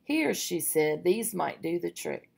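A woman reading a storybook aloud and voicing a kind, sweet old woman who speaks gently and cheerfully. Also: lowish voice, sing-song, measured pace, creaky, slightly rough, full character voice. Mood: kindly.

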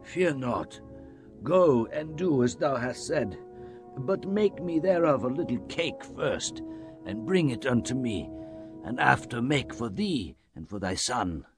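Slow, solemn prophet with sweeping pitch. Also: male voice, expressive, over music. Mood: calm, assured. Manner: declamatory, archaic Biblical phrasing. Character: solemn, fervent male Hebrew prophet. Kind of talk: dramatized Bible reading.

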